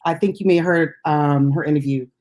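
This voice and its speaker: A woman's voice: low-pitched, fairly quick, darkish tone. A woman co-hosting a casual music chat show as a DJ, talking in an informal, chatty and giggly way. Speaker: radio DJ and co-host.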